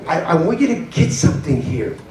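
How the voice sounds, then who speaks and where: A middle-aged man preaching with lots of energy, his voice sitting high for a man. He moves about a church stage with a handheld mic. The sound is roomy and a little distant, caught from across the hall. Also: a little fast, a little hiss or hum. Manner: animated preaching, gesturing and pacing the stage. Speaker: pastor. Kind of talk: sermon.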